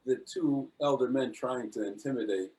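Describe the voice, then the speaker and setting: A man talks through his ideas on an online panel. His voice is mid-pitched and he speaks haltingly, repeating words as he works out each thought. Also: warm tone, low-quality recording. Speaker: actor on a discussion panel. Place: remote video call.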